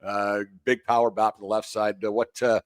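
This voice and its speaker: A man with a Canadian accent hosting a casual sports interview, informal and halting as he works toward his questions. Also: lowish voice, little pitch movement, no room sound, studio quality. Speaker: sports show host interviewing a coach.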